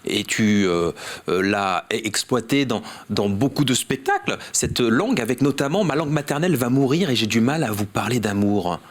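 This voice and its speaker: A man's voice: medium pitch, lively intonation, normal speed. A man interviewing an artist in a familiar, conversational way. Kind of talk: interview questions.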